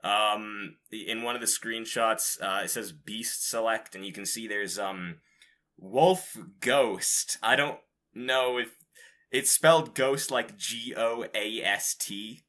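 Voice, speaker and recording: A man's voice: on the low side, takes it slowly, bright, forceful tone. A man hosting a podcast, chatting casually about game news in an informal, rambling, casually profane way. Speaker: podcast host.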